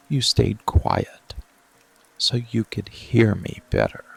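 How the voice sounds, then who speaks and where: A dark, seductive male monster played by a man murmurs in a low, heavily creaking voice over rain and music. He speaks extremely slowly, breathy and often whispering, as a possessive, protective lover in an intimate ASMR roleplay. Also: heavily acted, poor audio. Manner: slow, deliberate, coaxing, with long pauses.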